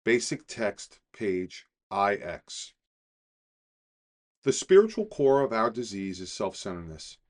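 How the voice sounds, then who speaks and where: A middle-aged man reading a daily recovery meditation in a calm, deliberate way, slowing on key phrases. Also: on the low side. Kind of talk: measured scripted reading.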